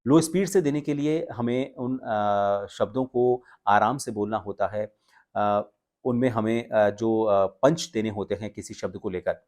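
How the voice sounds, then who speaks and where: A man giving an instructional talk to viewers as a voice coach, explaining with pauses and stress on key words. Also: lowish voice, darkish tone. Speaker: voice coach and video presenter.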